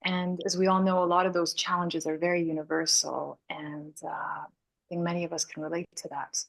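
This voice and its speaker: A woman raised in Canada, a guest speaking thoughtfully and softly in an interview, laughing easily. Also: deep voice, flat delivery, fairly quick, gravelly. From Hungary, raised in Canada. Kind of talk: reflective interview conversation.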